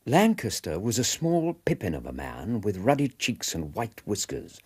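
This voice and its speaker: An actor playing a gentlemanly British army veteran who narrates detective cases in a measured storytelling manner. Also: male voice, lowish voice, wildly swooping pitch, breathy edge, full character voice. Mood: vivid recounting. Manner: measured storyteller, vividly descriptive. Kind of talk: scripted narration in radio drama.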